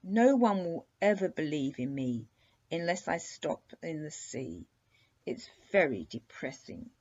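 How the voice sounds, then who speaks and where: A woman voicing an indignant, self-pitying sea serpent for a children's story, with a hoarse, drawn-out, growling delivery. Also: deep voice, very animated, darkish tone, lo-fi sound, heavily acted. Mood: bitter, gloomy.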